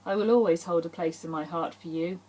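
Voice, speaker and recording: A woman's voice: lowish voice, a little fast, mellow, lightly acted, rough recording. A woman voicing a regretful, subdued young man leaving his lover, in audiobook dialogue. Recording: faint background hum.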